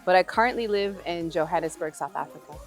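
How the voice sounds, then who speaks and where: A woman from Atlanta introducing herself in a measured, matter-of-fact way for an interview. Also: fairly low pitch, faint music behind. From Atlanta, USA.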